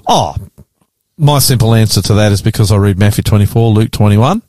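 A man's voice: on the low side, a little fast, dark tone, no echo. A man with an Australian accent hosting casual radio talk in a chatty, blokey manner. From Queensland, Australia. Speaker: radio host and pastor. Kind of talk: casual radio banter and discussion. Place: radio studio.

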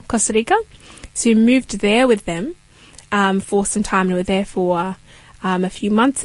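A woman's voice: mid-range voice, a little mellow, dry recording. A young woman from Kenya sharing her faith story as an interview guest, earnest and reflective.

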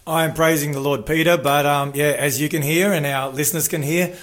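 A man co-hosting a radio program with a croaky, sore-throated voice, pitched slightly high. He talks in a light, self-deprecating way. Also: steady tone, brisk, a bit bright. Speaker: radio co-host.

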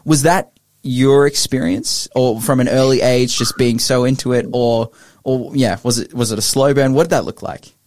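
A man's voice: mid-range voice, steady tone, normal speed, a little mellow, no room sound. An upbeat man hosts a radio interview, chatty and often starting a sentence over. His voice sits in the middle range. The studio sound is dry and close. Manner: upbeat, chatty, many restarts. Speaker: radio host.

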